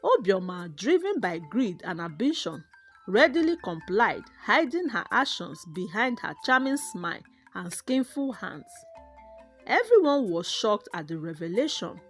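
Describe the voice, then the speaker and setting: This is a woman telling a scripted folktale. Her delivery is dramatic and expressive, with pitch that moves in lively swings. Music plays softly behind her words. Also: measured pace. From Nigeria. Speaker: storyteller, narrator.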